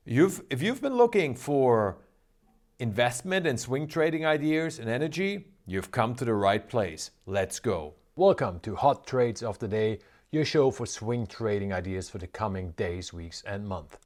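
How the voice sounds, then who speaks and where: A man's voice: medium pitch, animated, a little slow, darkish tone. A man hosting a stock trading show, talking in an upbeat, emphatic, salesy way. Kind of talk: energetic market commentary.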